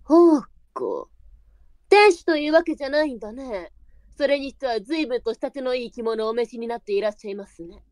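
A woman playing a shrewd, sharp-tongued, brisk brothel madam in a period audio drama. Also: high-pitched, very animated, no echo, studio quality. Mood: wary, businesslike. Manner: blunt, switches to formal politeness with guests.

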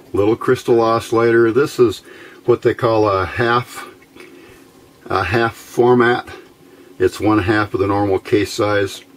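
A middle-aged man with a fairly low voice walks through a hands-on electronics build. He explains each step casually and without hurry, in the informal way of a hobbyist showing his work. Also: slight background noise. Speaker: amateur radio builder presenting a project. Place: indoor workbench.

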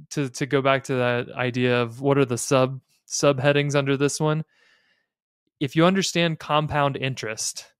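A man's voice: medium pitch, clean tone, dry recording. Male podcast host, American, clean resonant voice, thoughtful explainer delivery, tight dry studio sound. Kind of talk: explanatory podcast talk on personal finance and faith. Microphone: close studio mic on a boom arm.

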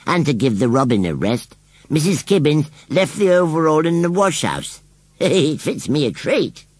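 Storybook scarecrow: rural English rustic, touchy and cheeky, raised pitch with wild swings, slightly husky. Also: male voice, sing-song, normal speed, no room sound. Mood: airy, pleased. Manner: rustic, emphatic, dialect-laden speech. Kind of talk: children's storybook character voice.

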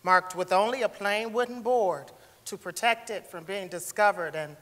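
A woman's voice: mid-range voice, moderate pace, fairly bright tone, natural voice. A woman giving a scripted dramatic reading as narrator on a theatre stage. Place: large indoor theatre stage. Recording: echoey theatre hall.

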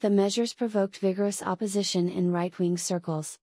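A woman's voice: mid-range voice, steady tone, moderate pace, warm tone, no echo, clean studio sound. A woman reading scripted practice sentences for an English speaking test. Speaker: test prompt reader.

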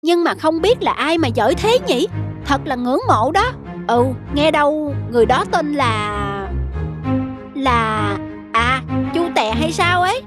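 Very high, gossipy cartoon monkey. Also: animated, unhurried. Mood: curious. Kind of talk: cartoon voice acting. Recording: children's music behind.

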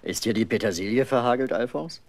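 A man's voice: medium pitch, a little fast, natural voice. An actor playing a teasing middle-aged father in a 1970s German children's radio play, with a theatrical delivery. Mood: jovial prying.

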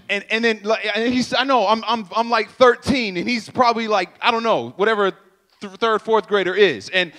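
A young man speaking on a stage with a handheld mic, telling an animated story, acting out sound effects and talking straight to the crowd. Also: high voice. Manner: animated, acts out sounds and voices, addresses crowd directly. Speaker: speaker at a church youth event. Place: stage in a purple-lit hall. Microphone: handheld microphone.

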